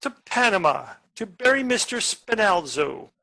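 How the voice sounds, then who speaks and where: A man's voice: high voice, very animated, normal speed, vocal fry, bright, forceful tone, full character voice. A man playing a menacing, boastful murderer in a radio play, with an emphatic, theatrical manner. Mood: menacing, incredulous.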